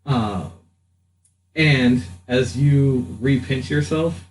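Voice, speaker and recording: A man's voice: mid-range voice, extremely slow, a little mellow, far from the mic. A man narrating a tabletop game casually as game master. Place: indoor room with other players. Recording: echoey room, off mic, faint noise.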